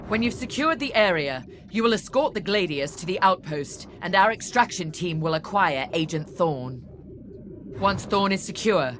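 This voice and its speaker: A woman's voice: fairly low pitch, fairly bright tone, slight background noise. A woman playing a stern, formal Imperial fleet captain in a video game, commanding and controlled, with a bitter edge. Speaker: military commander giving mission briefing. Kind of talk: cinematic video game military briefing. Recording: background orchestral game music.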